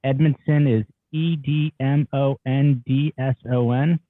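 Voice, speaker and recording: A man's voice: medium pitch, fairly even intonation, measured pace, no echo, close to an everyday voice. Man reading as a curt attorney, dull lo-fi audio. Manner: curt, clipped. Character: curt attorney advising a witness during deposition. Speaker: witness's attorney in a deposition reenactment. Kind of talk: dramatic reading of a deposition transcript.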